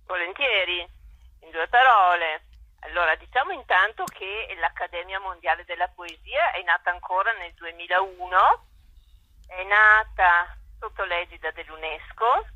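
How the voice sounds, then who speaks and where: A woman explaining things as an interview guest over a telephone, with hesitant pauses. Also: fairly low pitch, bright, forceful tone, no room sound. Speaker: poetry academy president, interview guest. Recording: dull telephone line.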